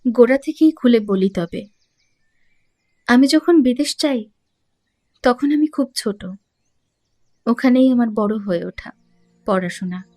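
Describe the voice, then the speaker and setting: A woman playing an educated young heiress in an audio drama, recounting her troubles in a measured, confiding way. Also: on the high side, animated, dark tone. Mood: composed, explaining. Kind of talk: Bengali audio drama acting.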